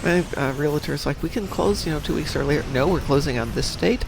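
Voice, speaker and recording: A man's voice: a little high, a little fast, gravelly, noisy background. A man from Chicago hosting a livestream, chatting casually while playing a game, a little stuffy from a cold. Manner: chatty, animated, reads viewer comments aloud. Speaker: stream host.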